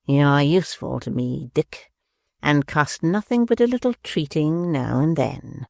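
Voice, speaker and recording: A gravelly, slow-spoken dwarf who sneers and threatens with spiteful glee, as a male reader puts him on. The voice drops low and crackles with fry in a dry, close recording. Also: expressive, dry recording. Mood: scheming. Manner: sneering and menacing. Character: spiteful scheming male dwarf, bullying and gleeful. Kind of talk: audiobook character voice.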